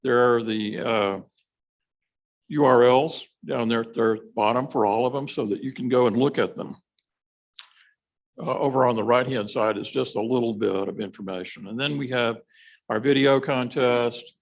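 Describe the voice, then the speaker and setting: A man with a medium-pitched voice gives an informal slide report at a members meeting. He is matter-of-fact and a little halting as he works through it. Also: creaky, lo-fi sound. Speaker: committee presenter at a members meeting.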